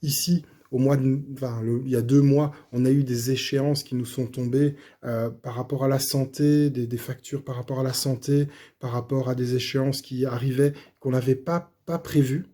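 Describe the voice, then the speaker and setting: A middle-aged man, slightly hoarse, gives a personal faith testimony in a halting, casual way with many fillers and restarts. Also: medium pitch, steady tone, warm tone.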